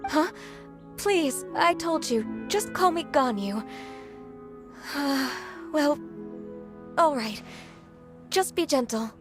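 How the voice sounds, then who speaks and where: A gentle, timid young woman in a game. Her voice is high and slow, courteous and a little hesitant, with music clearly behind it. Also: expressive, heavily acted. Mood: timid, polite. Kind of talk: video game voice acting.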